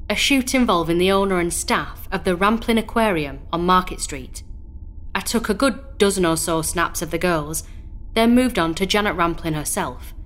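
An actress playing a placid female patient in a horror audio drama, telling her story in a calm, measured way. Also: lowish voice, close to an everyday voice.

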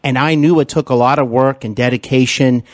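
A man's voice: mid-range voice, steady tone, brisk, no room sound. A man hosting a conversational interview, effusive and deferential, often feeling his way through his phrasing. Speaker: podcast host and interviewer.

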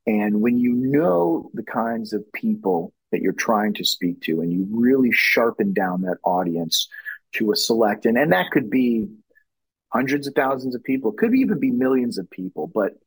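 A man's voice: lowish voice, slightly rough, no echo, rough recording. A middle-aged man from Louisiana, a brand strategist talking in a podcast interview, rambling with many restarts and fillers.

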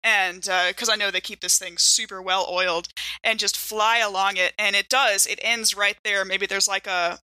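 A woman's voice: medium pitch, fairly even intonation, normal speed, pushed and bright, dry recording, low-quality recording. A woman playing a tabletop role-playing game, thinking aloud and describing her moves in casual, like-peppered speech. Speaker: player in an actual-play podcast.

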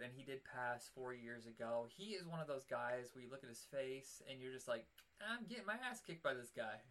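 A man's voice: mid-range voice, bright, forceful tone. A man with a nasal voice, hosting a podcast in casual, rambling chat. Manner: rambling, self-deprecating. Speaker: podcast host.

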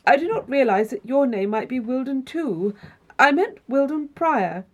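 A woman's voice: high-pitched, expressive, moderate pace, a little mellow, voice pushed up. A woman voicing a polite, exclamatory young man, a somewhat puzzled guest in an audiobook story. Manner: exclamatory, well-mannered.

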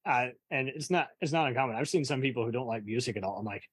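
A man's voice: mid-range voice, lively intonation, quick pace, breathy edge. A man hosting a casual interview, rambling through his opinions like a keen film buff.

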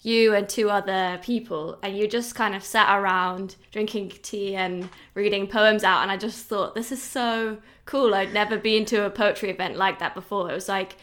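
A woman interviewing a guest in a relaxed conversation, asking her questions in a friendly, informal way. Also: medium pitch, moderate pace, few pauses.

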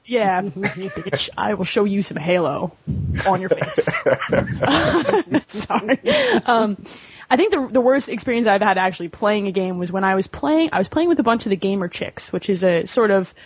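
A woman's voice: mid-range voice, sing-song, moderate pace, breathy edge, dull audio. A woman chatting casually about video games in a blunt way, with the odd swear word. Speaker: podcast guest.

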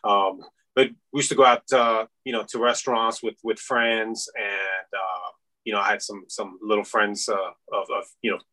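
Male podcast guest, 50s, from Iran, meandering and filler-heavy, bright tone. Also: on the low side, steady tone, normal speed, low-quality recording. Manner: rambling, many fillers. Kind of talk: casual interview chat.

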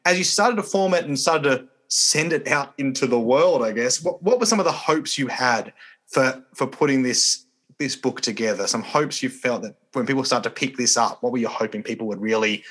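A man's voice: mid-range voice, normal speed, fairly bright tone, breathy edge. A man hosting a podcast interview in a conversational, rambling and hesitant way. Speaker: podcast host, church minister.

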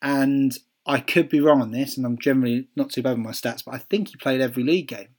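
A man's voice: mid-range voice, fast talker, a little mellow. A man with a Welsh accent chatting casually as a podcast co-host, musing aloud with ums and restarts. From Wales. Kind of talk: casual chat.

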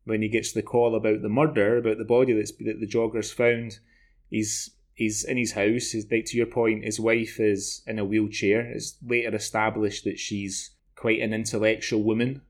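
A man in his forties with a Glaswegian Scottish accent, chatting casually as a podcast co-host in a rambling, halting way. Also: on the low side, level tone. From Glasgow, Scotland. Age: 40s. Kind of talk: casual discussion of television.

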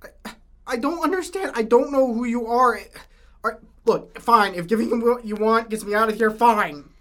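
A young woman playing a kidnapped high school girl, stammering and pleading in a student audio drama. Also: moderate pace, lightly acted. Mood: pleading, scared. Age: young adult.

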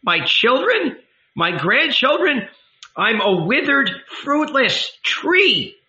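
A man voicing a fierce, bitter, toothless old village woman with a shrill, screeching voice, in a dull lo-fi recording. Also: sing-song, slow pace, vocal fry, sharp tone, heavily acted. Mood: grieving. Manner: swings from motherly coaxing to furious ranting.

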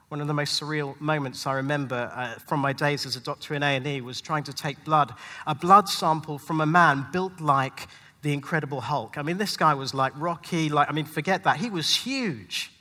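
High, bright-voiced man preaching in a hall. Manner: rhetorical repetition and deliberate dramatic pauses. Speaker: pastor. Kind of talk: dramatic sermon with rhymed spoken-word passages. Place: large indoor venue, speaking live to a gathering. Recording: live hall with long reverb.

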